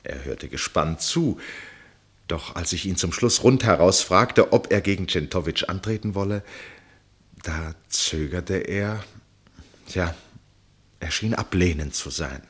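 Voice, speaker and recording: An actor playing a curious, eloquent gentleman in a radio drama, with a husky voice and a polite, persuasive manner. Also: sits low, lively intonation, measured pace, pauses often, exaggerated voice. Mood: reflective. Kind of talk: radio drama narration and dialogue.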